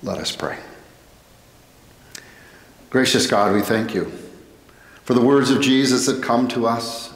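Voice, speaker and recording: American pastor preaching in an echoing church. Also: male voice, medium pitch. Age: middle-aged. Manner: earnest, deliberate phrasing with rhetorical questions. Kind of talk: sermon. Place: indoor church, at a pulpit. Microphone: headset microphone. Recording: echoey church hall with long reverb.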